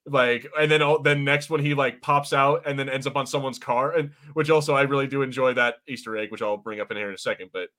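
A man's voice: medium pitch, steady tone, fast talker, hardly any pauses, sharp tone. A man co-hosting a podcast, giving casual watch-party commentary in a chatty, slangy way with some swearing. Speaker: podcast co-host.

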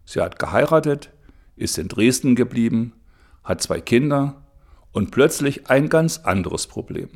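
Man reading documentary narration. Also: mid-range voice. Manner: measured, even reading.

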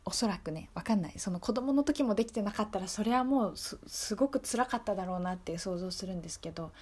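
A woman hosting a radio show, talking casually and reflectively in a gentle, polite, thoughtful way. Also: medium pitch, animated, fast talker, slightly rough. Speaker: radio show host and singer-songwriter.

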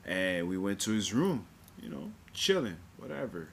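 A man's voice: deep voice, sing-song, normal speed, vocal fry, fairly bright tone, a little hiss or hum. A man co-hosting a podcast, telling a story in laid-back slang with a Southern California accent. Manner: laid-back slangy storytelling, says bro and dog a lot. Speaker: podcast co-host. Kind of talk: casual chat.

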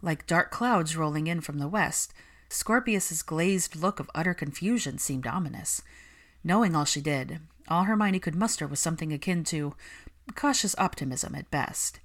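A woman reading fan fiction as an audiobook narrator, in expressive, measured scripted storytelling. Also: low voice.

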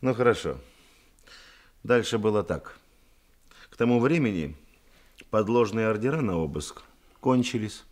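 A shrewd Soviet detective in a radio play, with a low voice that swings widely. He is commanding and deliberate, with dry irony. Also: lively intonation, a little mellow. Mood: methodical, pressing. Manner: deliberate, commanding, dryly ironic. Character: shrewd, composed Soviet police detective interrogating suspects. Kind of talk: radio drama acting.

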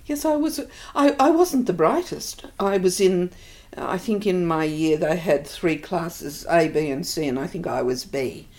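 An older woman with an Australian accent, thoughtfully recalling her life in an interview, pausing often. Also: fairly low pitch, animated, a little mellow. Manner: reflective, hesitant with many fillers. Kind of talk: oral history interview.

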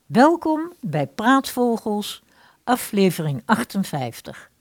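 A woman hosting a radio play series, reading a scripted introduction in a deliberate, dramatic storytelling way. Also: mid-range voice, sing-song.

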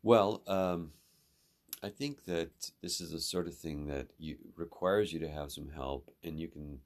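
Man, mentor type, extremely low voice, steady and considered feedback. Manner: measured and advising, with ums and restarts. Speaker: instructor giving feedback. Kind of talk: advisory conversation.

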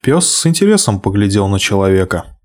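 A man reading a fairy tale aloud, expressive, slowing and hushing for the sad passages. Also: lowish voice. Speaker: narrator. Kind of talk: storybook reading.

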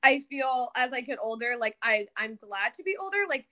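Chatty young woman with a bright voice. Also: on the high side, expressive, moderate pace. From New Jersey, United States. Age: early 30s. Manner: rambling, informal, frequent "like". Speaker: podcast guest, author. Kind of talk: casual interview chat.

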